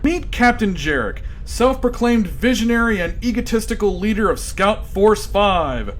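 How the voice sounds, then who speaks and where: A man's voice: sits high, expressive, normal speed, slight background noise. A man narrating a comedy radio drama with grand, theatrical delivery.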